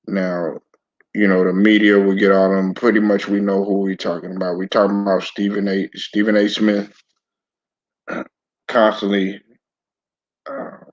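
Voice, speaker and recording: Raspy-voiced man with a throat bug. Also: fairly low pitch. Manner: laid-back, stop-start, repeats words. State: hoarse from a sore throat, clearing throat. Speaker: sports commentary channel host. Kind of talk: casual live-stream talk to viewers. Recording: dull lo-fi home recording.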